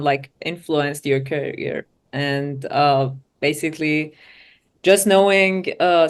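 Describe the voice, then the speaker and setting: A woman hosting an online seminar, thanking the speaker and asking formal, scripted-sounding interview questions. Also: low voice. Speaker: interviewer, host.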